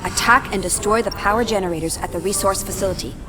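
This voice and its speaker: A woman playing a devoted, earnest rebel spy in a video game, reporting to her commander in a formal, crisp manner. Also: medium pitch, quick pace, close to an everyday voice. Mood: businesslike, earnest. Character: earnest, devoted female rebel spy acting as field liaison. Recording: loud music behind the voice.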